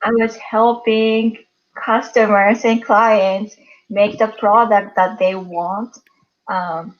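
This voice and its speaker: Slow-speaking woman from Japan, often laughing. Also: medium pitch, little pitch movement, dull audio. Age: 30s. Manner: warm, laughs often between phrases. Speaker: guest. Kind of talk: interview answers.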